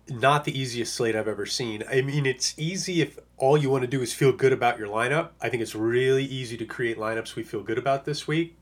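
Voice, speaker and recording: Hoarse man rambling about fantasy picks. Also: mid-range voice. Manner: rambling, lots of uh and you know. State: hoarse, losing his voice. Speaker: fantasy football analyst. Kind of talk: casual podcast monologue.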